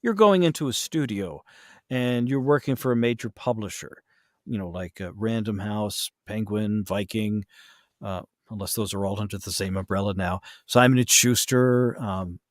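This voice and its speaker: A man's voice: on the low side, normal speed, no room sound, studio quality. A man in a casual interview as a podcast guest, explaining things in a hesitant, self-correcting way. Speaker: podcast guest, voice actor and audiobook narrator.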